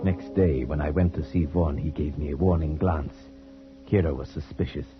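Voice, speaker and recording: An actor playing an uneasy, loyal hunter who tells a suspense tale in deliberate, dramatic old-time radio style. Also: male voice, rumbling low, steady tone. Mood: brooding, uneasy. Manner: deliberate, dramatic first-person storytelling. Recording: muffled old radio drama recording, music behind.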